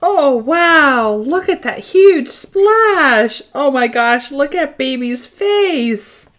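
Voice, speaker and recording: A woman's voice: high-pitched, animated, extremely slow, fairly bright tone, voice pushed up, exaggerated voice. A woman voicing an eager, naive young puppy with a childlike, wide-eyed manner in a storybook read-aloud. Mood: excited, eager.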